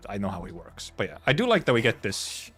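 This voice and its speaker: A man's voice: fairly low pitch, huge pitch swings, quick pace, fairly bright tone, a little hiss or hum. A man streaming a game, reacting casually with wry, offhand muttered asides. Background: faint game music.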